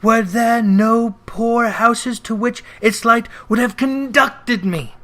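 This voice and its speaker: A man voicing a mournful, stern ghost in a storybook reading, with solemn, drawn-out, wailing declamation. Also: very high voice, sharp tone, voice pushed up, exaggerated voice. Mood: anguished, wailing. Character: mournful, stern male ghost, warning and remorseful.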